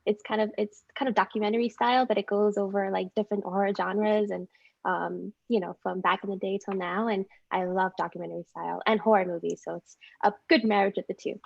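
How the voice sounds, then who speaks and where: Squeaky, gravelly woman on a lo-fi call. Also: fairly even intonation, a little fast, no echo. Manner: giggly, hesitant with fillers. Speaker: guest, motion designer. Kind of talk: casual chat.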